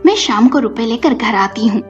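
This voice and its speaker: A self-centred married daughter in a storybook drama, put on by a woman narrator, evasive and casual. Her voice is fairly high, over music. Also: expressive, moderate pace, natural voice. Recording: muffled recording with music behind.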